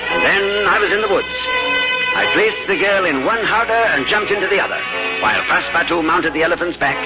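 An actor playing a formal, unflappable English gentleman traveller in a 1950s radio drama, with exact, clipped speech. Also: high-pitched, a little fast, lightly acted. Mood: measured, narrating. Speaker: lead actor and narrator. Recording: dull old radio recording with music.

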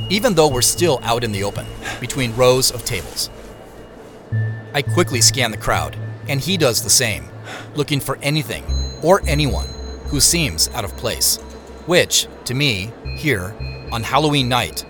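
Wary, animated protagonist played by a man, music behind. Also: medium pitch, natural voice. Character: wary, uneasy narrator-protagonist going by Ralph. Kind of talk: audio drama acting and first-person scripted narration.

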